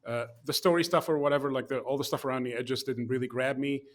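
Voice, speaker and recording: A man's voice: mid-range voice, fast talker. Chatty man with many fillers and restarts. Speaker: podcast co-host. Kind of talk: casual chat.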